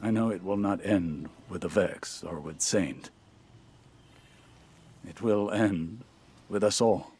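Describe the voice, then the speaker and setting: An actor playing a grave, haunted older mentor in a sci-fi game, formal and portentous. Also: male voice, low voice, slow pace, long pauses, darkish tone, breathy edge, exaggerated voice. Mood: foreboding, urgent. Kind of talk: scripted sci-fi game dialogue.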